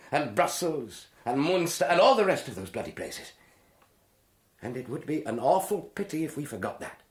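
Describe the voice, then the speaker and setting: A pompous English army major in a radio play, highish in pitch and swinging widely in tone. He speechifies formally with small hesitations. The room around him is very echoey. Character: pompous British army major, commanding officer. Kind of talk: radio drama acting. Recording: echoey hall.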